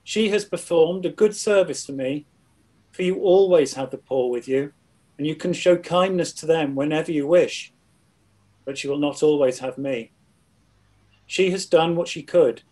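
An older man plays a grave male teacher with quiet authority in a church passion play. His voice is mellow and set a little high for a man. The lines are measured and declarative. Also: poor audio. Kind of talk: dramatized scripture reading.

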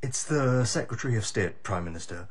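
Nervous male aide, husky, deferential, stammering, radio drama. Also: mid-range voice, expressive, a little fast. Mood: flustered. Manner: stammering, flustered.

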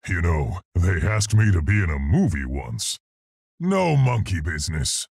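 A large, brave gorilla scientist in a video game. The voice is very husky and gruff, with a gravelly edge. He speaks in earnest, articulate, unhurried phrases, polite yet heroic. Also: low voice, sing-song, a little mellow, no echo, clean studio sound, full character voice. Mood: calm, awkward. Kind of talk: video game character voice lines.

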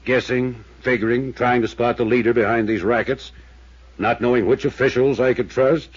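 An actor playing a weary, earnest small-town male mayor in a 1950s radio drama, careful and measured in speech. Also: medium pitch, fairly even intonation, husky, dry recording, natural voice. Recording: dull old radio recording, dry.

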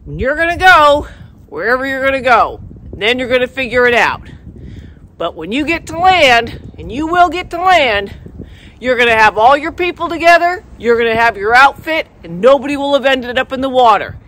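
A woman's voice: sits high, sing-song, moderate pace, fairly bright tone, low-quality recording. A woman canoe guide and show host, talking outdoors in an emphatic, folksy way that keeps checking in with "okay?". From Maine. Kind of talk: outdoor instructional talk to camera. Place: outdoors by the water.

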